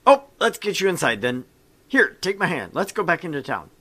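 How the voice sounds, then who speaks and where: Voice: mid-range voice, big pitch swings, fairly quick, sharp tone, pitched above the performer's own voice. A performer voicing an awkward, earnest young male recruit in a visual novel game, often hesitant and grumbling. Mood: awkward, sweet.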